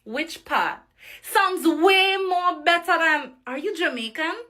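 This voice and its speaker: A woman from the Caribbean voicing polite frustration in a measured way. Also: very high voice, expressive, bright, forceful tone, a little hiss or hum. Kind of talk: casual video.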